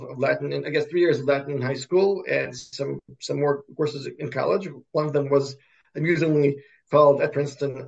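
Gravelly Russian-born man, halting academic talk. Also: fairly high pitch, a little mellow, highs cut off. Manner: halting, repeats words and restarts often. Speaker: podcast guest, computer science professor. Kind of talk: conversational interview answers.

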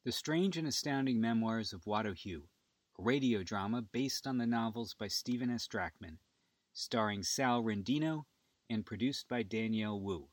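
A man's voice: on the low side, normal speed, no echo. A man announcing titles and credits for a radio drama in a scripted style.

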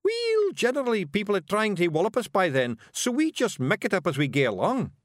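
A man's voice: sits high, huge pitch swings, a little fast, no room sound, studio quality, pitched above the performer's own voice. A man voicing a boisterous, cheerful little fairy clansman with a Scottish accent in a storybook reading. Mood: cheerful confidence. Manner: exclamatory, brash.

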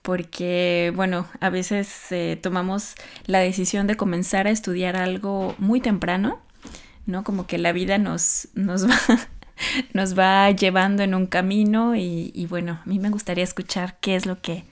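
A woman hosting a podcast, asking a guest questions in a gently inquisitive way. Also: lowish voice, little pitch movement, unhurried. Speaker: podcast host. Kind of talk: interview hosting.

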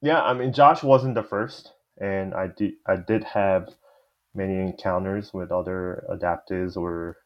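A very low-voiced man with a Korean accent talks in an easygoing, chatty way, sprinkling his sentences with "you know". The recording sounds dull and lo-fi. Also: fairly even intonation, unhurried. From South Korea. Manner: easygoing, laughs often, fillers like "you know". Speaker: guest. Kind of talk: casual panel discussion.

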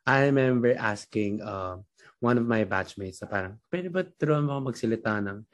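A man's voice: fairly low pitch, lively intonation, brisk. A young Filipino man with a Filipino accent hosting a casual interview, hesitant with many fillers as he frames questions. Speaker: podcast host.

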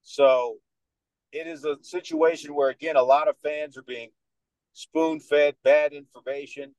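A man in his thirties with a Dominican American accent, speaking as a podcast guest in a confident, animated way. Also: on the high side, unhurried, no echo, lo-fi sound. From United States, New York. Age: 30s. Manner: confident, animated storyteller who acts out other people's lines. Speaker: guest, sports radio host. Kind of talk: casual podcast interview.

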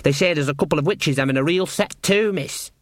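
A man's voice: fairly high pitch, fairly quick, fairly bright tone, dry recording, voice pushed up, natural voice. A man voicing a humble young castle servant with rustic grammar and a deferential, literal-minded manner in a storybook. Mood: nervous.

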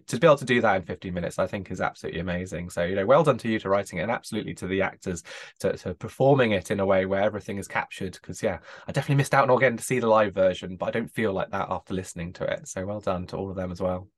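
Man, podcast guest, rapid casual delivery, lowish pitch, effusive and rambling. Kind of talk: casual chat.

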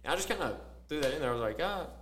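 A man's voice: on the high side, very fast. Man telling stories with a faint hum behind. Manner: storytelling, animated quotes, filler-heavy. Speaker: podcast co-host. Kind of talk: casual faith podcast chat.

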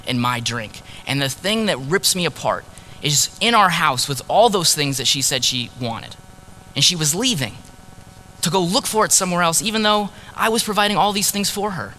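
A preacher playing a heartbroken ancient prophet. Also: male voice, on the high side, slight background noise. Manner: earnest, sorrowful storytelling, drops to hushed tones on key words. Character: grieving ancient male prophet, heartbroken husband. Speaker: preacher performing a first-person monologue. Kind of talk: dramatic first-person sermon monologue.

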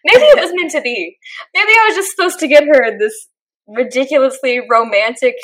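A young woman co-hosts a casual podcast, her voice bright and a touch high. She gushes and chats with animated, quick energy, breaking into laughs now and then. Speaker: podcast co-host. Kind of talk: casual chat.

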